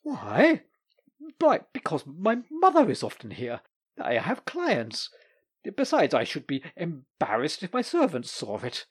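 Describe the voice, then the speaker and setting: A man voicing an excitable middle-aged male lawyer in a storybook reading, with gushing, squealing exclamations and a husky edge. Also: on the high side, a little slow, voice pushed up, full character voice. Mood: nervous.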